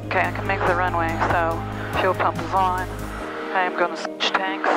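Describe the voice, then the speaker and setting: A woman's voice: lowish voice, flat delivery, normal speed. A woman student pilot flying solo, giving radio readbacks and talking to herself over an aviation headset in a small cockpit. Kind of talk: radio readbacks and self-talk while flying. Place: small airplane cockpit in flight. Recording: cockpit headset audio under loud music.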